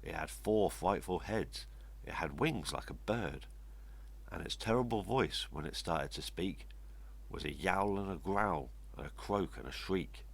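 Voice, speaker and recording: Older guy reading a kids rhyming story, deep husky voice, really animated. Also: measured pace. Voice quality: gravelly, husky. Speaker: story reader. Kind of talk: expressive read-aloud children's storytelling in rhyme.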